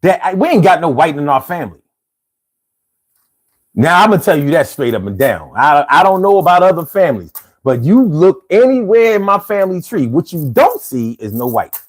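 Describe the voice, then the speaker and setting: A man's voice: fairly high pitch, big pitch swings, normal speed. A man hosting a casual live stream, reading out viewer comments with laid-back, chuckling talk, from the United States.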